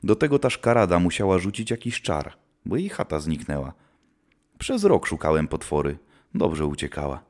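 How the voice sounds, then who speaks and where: A man's voice: low-pitched, a little mellow. A man playing a grim, seasoned witch hunter who speaks solemnly, like an old-time storyteller, in a scripted audio drama.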